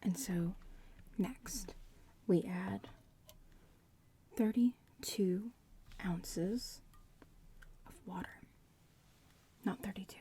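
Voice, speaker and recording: A woman playing a bratty young witch in training, whispering breathily in a hushed ASMR roleplay. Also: medium pitch. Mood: calm, focused. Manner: slow, hushed, unhurried, murmuring.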